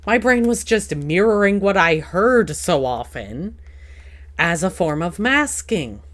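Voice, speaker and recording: A woman's voice: on the low side, big pitch swings, takes it slowly. A woman hosting a casual podcast chat in a playful, teasing, rambling way. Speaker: podcast host.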